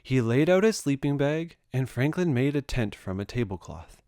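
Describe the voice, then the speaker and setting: A man reading a children's story aloud with expressive narration. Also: mid-range voice, moderate pace, no echo, clean studio sound. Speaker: storybook reader.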